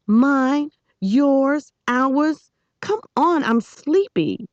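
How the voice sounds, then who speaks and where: A woman's voice: high-pitched, lively intonation, drawn-out words, dry recording, dull audio, pitched above the performer's own voice, full character voice. A woman voicing a bossy, fierce young girl in a storybook, snapping and scolding with heavy emphasis. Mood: insistent.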